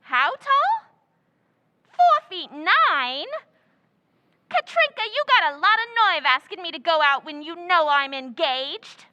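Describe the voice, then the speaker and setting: A woman playing a giddy, shrill husband hunter. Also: sing-song, takes it slowly, sharp tone, muffled recording, slight background noise, exaggerated voice. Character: man-hungry, scatterbrained young woman Miss Duffy. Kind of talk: old-time radio comedy recreation.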